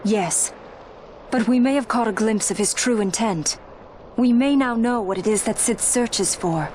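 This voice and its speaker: An actress playing a dignified, guarded young princess in a video game, speaking with formal, earnest poise. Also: female voice, a little high, measured pace, a little mellow, a little hiss or hum, close to an everyday voice, poor audio. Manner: formal, regal. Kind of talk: video game cutscene acting. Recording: game score behind dialogue.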